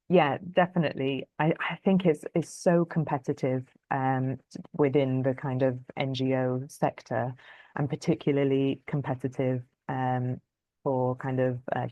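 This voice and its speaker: A woman's voice: a bass voice, darkish tone, dry recording, dull audio. Thoughtful woman on a video call. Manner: thoughtful, many fillers and "kind of". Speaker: public health lead answering career questions. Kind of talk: webinar Q&A answers.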